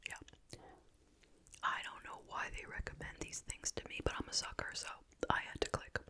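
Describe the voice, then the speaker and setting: A person whispering in a breathy, unvoiced voice, chatting casually and without hurry as an ASMR host during a meal. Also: sharp tone. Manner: casual, unhurried rambling while eating. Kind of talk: whispered ASMR chit-chat mukbang.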